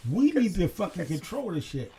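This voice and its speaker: A man co-hosting a casual late-night talk show, telling stories in an animated way and swearing freely. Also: high-pitched, normal speed, slight background noise. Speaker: co-host.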